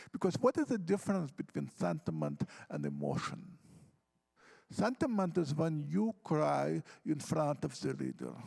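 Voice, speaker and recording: Guy, really gravelly fry, kinda high pitched, talking poetry craft with lots of examples. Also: darkish tone. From Ukraine. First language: Russian. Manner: earnest, explains with examples and questions to himself. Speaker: poet on a panel. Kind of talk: reflective talk on poetry craft. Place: conference hall stage.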